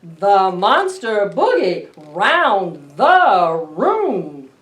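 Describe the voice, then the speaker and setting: A woman voicing a big, scary but playful storybook monster, chanting boastfully in loud, drawn-out rhythm. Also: medium pitch, sing-song, heavily acted. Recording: roomy, distant camera microphone.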